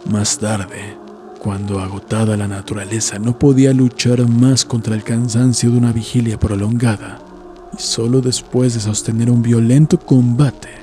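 A man reading a scripted horror story as an audiobook narrator, in a measured, solemn, literary manner. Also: mid-range voice, mellow. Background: background music.

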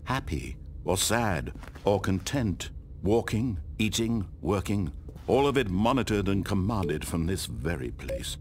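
A man's voice: deep voice, big pitch swings, measured pace, slight background noise. A man playing an omniscient, theatrical storyteller in a video game, narrating a hero's fate in carefully enunciated, storybook style. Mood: solemn resolve.

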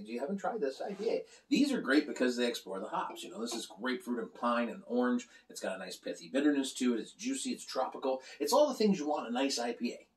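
Animated man reviewing beer, roomy sound. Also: mid-range voice. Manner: animated, joking, gestures a lot while talking. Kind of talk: rambling casual beer review. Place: small room lined with beer shelves, indoors. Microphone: camera across the table. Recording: roomy, slightly distant sound.

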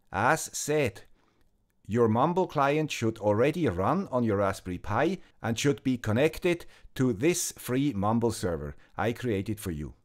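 A man with a Swiss German accent calmly narrating a scripted electronics tutorial, explaining each step in order. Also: medium pitch. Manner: calm, methodical, step-by-step explaining. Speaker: electronics video host.

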